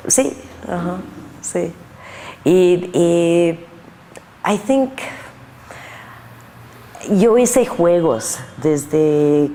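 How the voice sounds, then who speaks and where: Older American woman, English-accented, low and unhurried, reverberant room. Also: dark tone. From United States. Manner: halting, mixes Spanish and English. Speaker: guest artist. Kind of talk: interview answers. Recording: long reverb, faint noise.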